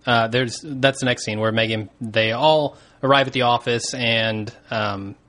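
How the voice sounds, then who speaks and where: A man's voice: medium pitch, fairly even intonation, unhurried, a bit bright. A man co-hosting a podcast, casually recapping a TV episode in a laid-back, jokey way and laughing easily. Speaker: podcast co-host.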